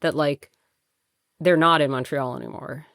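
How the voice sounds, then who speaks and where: Woman in her thirties, chatty host, low voice, dry close mic. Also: moderate pace, vocal fry, fairly bright tone, no echo. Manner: chatty, frequent "like" and "you know". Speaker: interviewer, podcast host. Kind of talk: casual conversational interview.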